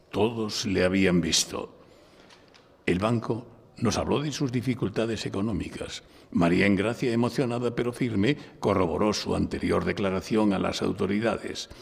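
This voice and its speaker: A man from Spain playing a shrewd, composed defense lawyer who narrates his own case deliberately in a live audio drama. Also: lowish voice, vocal fry, husky. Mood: measured narration. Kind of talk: courtroom audio drama with hardboiled first-person narration. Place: recorded live before an audience in a library. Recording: live stage recording in reverberant hall.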